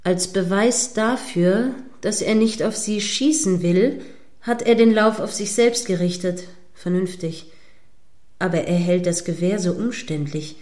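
A woman's voice: medium pitch, unhurried, darkish tone, close to an everyday voice. A woman playing an indignant, moralizing radio reporter giving live commentary on a standoff in a radio play. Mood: tense, disapproving.

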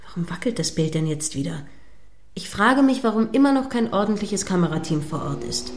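A woman's voice: on the low side, very animated, fairly quick. A woman playing an indignant, moralizing radio reporter giving live commentary on a standoff in a radio play. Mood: tense commentary.

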